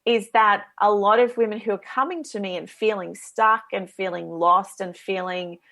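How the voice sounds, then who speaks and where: A woman's voice: medium pitch, normal speed, creaky, bright, forceful tone. A woman with an Australian accent, a guest coach speaking earnestly and eagerly in a radio interview.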